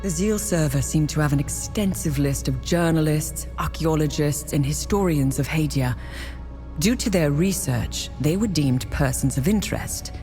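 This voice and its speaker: Woman playing a game sidekick doing briefings, low voice, no-nonsense, music behind. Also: normal speed, warm tone, natural voice. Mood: businesslike. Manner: matter-of-fact, informative. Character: businesslike, sharp-witted female ally. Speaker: video game ally giving briefings. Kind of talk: video game cutscene acting.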